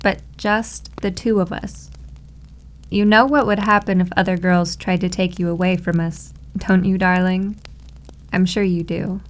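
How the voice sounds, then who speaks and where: A woman's voice: lowish voice, fairly even intonation, unhurried, close to an everyday voice. Teasing, possessive maid girlfriend played by a woman. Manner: cooing, teasing, confident. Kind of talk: roleplay ASMR acting.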